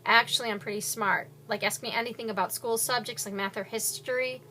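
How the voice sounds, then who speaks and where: Woman doing an eager rambling teen boy, bright and upbeat. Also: on the high side, fairly even intonation, a little fast, a little hiss or hum, rough recording. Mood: insistent. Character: eager, chatty teenage boy narrator.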